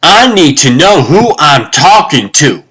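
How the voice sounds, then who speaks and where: A man's voice: fairly high pitch, sing-song, slow, fairly bright tone, husky, heavily acted. A man voicing a wary, wry former agent and weapons expert in a comic dub, with a dry, hesitant delivery. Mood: suspicious.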